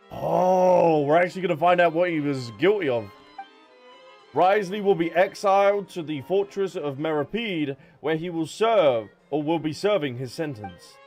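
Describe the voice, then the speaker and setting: A man casually commentating a game on a livestream in a playful, chatty way, sometimes reading text aloud. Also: fairly high pitch. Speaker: game streamer. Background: game music.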